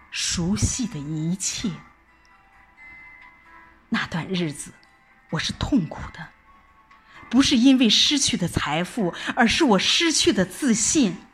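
Slow, expressive woman narrating a dramatic story. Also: medium pitch. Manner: emotive, theatrical delivery. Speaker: audiobook narrator. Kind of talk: dramatic first-person scripted narration.

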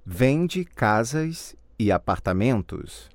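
A man giving scripted model answers in a language drill with careful, deliberate enunciation. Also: medium pitch, big pitch swings, dry recording. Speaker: language course model speaker.

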